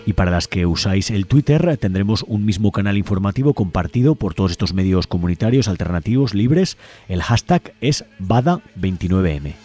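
Male community radio host, Spain accent, deep voice, scripted announcements over faint background music. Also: animated, normal speed. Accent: Spanish from Spain. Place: radio studio.

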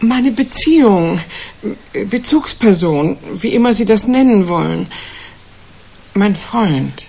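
An anxious, plain woman of around sixty in a radio crime play. The voice is raspy and creaky, earnest and hesitant, correcting itself, on a slightly dull recording. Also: medium pitch, lively intonation, slow pace, dark tone, exaggerated voice. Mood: worried, earnest. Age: older.

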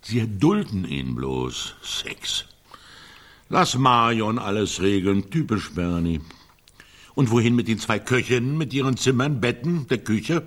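A man's voice: on the low side, animated, measured pace. An actor playing a grumpy, dismissive older man with a curt, sardonic way of talking in a German radio drama. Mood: scornful, grumbling.

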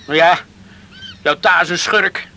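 An actor playing a stubborn, awkward young island man in a Dutch radio play, halting and repeating his words. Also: fairly high pitch, bright, forceful tone. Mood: awkward, gruff. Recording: old radio recording with faint noise.

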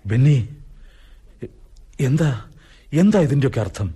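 An actor playing an anxious, defensive newlywed doctor, earnest and insistent in a radio drama. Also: male voice, medium pitch, sing-song, unhurried, mellow, breathy edge, exaggerated voice. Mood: uneasy, pleading.

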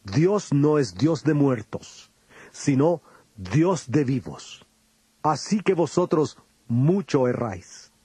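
An actor playing a solemn, firm male teacher with authority, speaking in a measured, declamatory way in a dramatized scripture recording. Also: on the high side, big pitch swings, warm tone, breathy edge, no echo, heavily acted. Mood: firm, admonishing.

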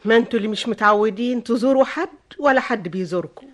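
An actress playing an older, gentle Egyptian nanny with a motherly manner. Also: fairly high pitch, very fast, lightly acted. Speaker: housekeeper nanny.

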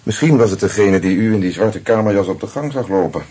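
An actor playing a methodical, polite but dry police commissioner in a radio play, speaking with measured, formal authority. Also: male voice, fairly low pitch, animated, warm tone, slightly rough. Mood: measured, probing. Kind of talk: Dutch radio drama acting.

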